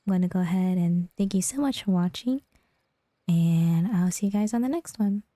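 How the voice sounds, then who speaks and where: A woman's voice: on the low side, normal speed, mellow, no room sound, clean studio sound. A woman game streamer chatting casually to her livestream viewers.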